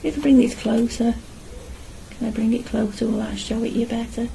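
A middle-aged woman talks in a chatty, informal way while she paints in her home studio. Her voice sits fairly high yet is soft-edged and mellow, with frequent creak. She narrates her work as if half to herself, over faint background noise. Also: steady tone, normal speed, poor audio. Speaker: artist and vlogger. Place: small home art studio, indoors.

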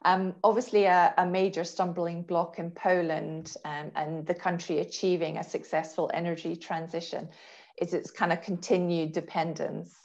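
A middle-aged woman interviewing in a measured, conversational way over a video call, with slightly dull sound. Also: fairly low pitch, a little mellow. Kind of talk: online interview. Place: small room indoors, video call.

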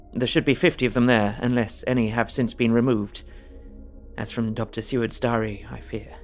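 A polite Victorian gentleman, played by a man in an audio drama, narrating his journal in a medium-pitched voice. He is formal and precise, and music plays faintly behind him. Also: close to an everyday voice. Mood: concerned. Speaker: actor reading a journal.

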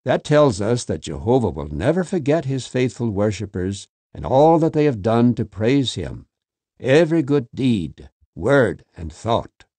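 A man reading a religious magazine article aloud in an expressive, scripted way. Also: on the low side, husky, no echo.

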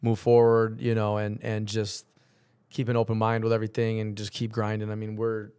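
A man's voice: fairly low pitch, monotone, moderate pace, a little mellow, smooth. A middle-aged man chatting casually as a podcast guest on a studio microphone, talkative and conversational. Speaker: podcast guest, business owner. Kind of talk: casual chat. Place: podcast studio indoors with curtains. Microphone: studio mic on boom arm.

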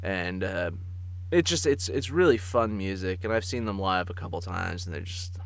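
A man co-hosting a podcast in casual comic chat, telling stories in an animated way and chuckling as he talks. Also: low voice, moderate pace. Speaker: podcast co-host.

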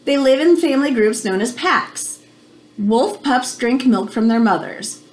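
An American woman in her thirties reading a children's nonfiction book aloud at home, pausing to explain things simply like a teacher. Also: a little high, expressive. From United States. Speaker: read-aloud host for children. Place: small room at home, indoors.

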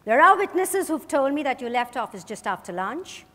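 A woman's voice: sits high, sing-song, normal speed, fairly bright tone, heavily acted. A woman acting a firm, relentless investigator in a staged play reading. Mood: firm, insistent. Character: firm, relentless female investigator questioning a suspect. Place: stage in a hotel ballroom. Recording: reverberant hall, distant stage pickup.

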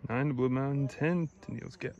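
A young man from Florida, chatting casually to his phone camera while hiking a forest trail, upbeat and filling pauses with "uh". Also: medium pitch, creaky, a little mellow, dull audio. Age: young adult. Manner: upbeat, chatty, thinks aloud with fillers. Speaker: hiker vlogger. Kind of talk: casual vlog narration. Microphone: phone at arm's length. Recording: faint outdoor noise.

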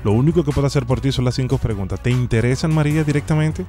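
A young Dominican man hosting a prank call, speaking in a deliberate, formal, official-sounding way into a close studio microphone. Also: medium pitch, warm tone, soft background music. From Dominican Republic. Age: young adult. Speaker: prank call show host. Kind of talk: phone prank, posing as an official. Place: small home studio. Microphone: close studio microphone on boom arm.

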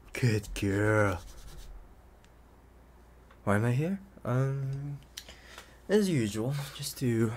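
A man playing an obsessive, playful young intruder in an ASMR roleplay, coaxing the listener like a doting owner, with hesitant pauses and hushed asides. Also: medium pitch, slight background noise. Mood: commanding.

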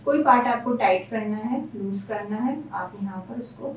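A woman's voice: mid-range voice, moderate pace, echoey room, recorded from a distance, slight background noise, poor audio. A woman hairstylist explaining each step of a hairstyle as she works in a salon. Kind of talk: hairstyling tutorial. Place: salon room.

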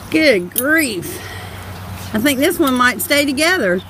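A woman narrates her home video in an unhurried, chatty way and giggles often. Her voice rises and falls a lot as she talks. It sounds like it was recorded outdoors in the snow on a handheld phone camera. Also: sits high, big pitch swings, fairly bright tone, slight background noise. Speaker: vlogger filming her husband. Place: outdoors in a snowy yard.

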